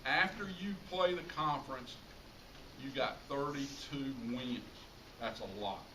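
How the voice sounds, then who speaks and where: A man's voice: mid-range voice, expressive, a little slow. A middle-aged American man giving an informal tribute speech to an audience, speaking emphatically and repeating phrases for effect. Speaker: high school public address announcer giving a tribute speech. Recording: roomy, distant mic, clearly noisy ambience.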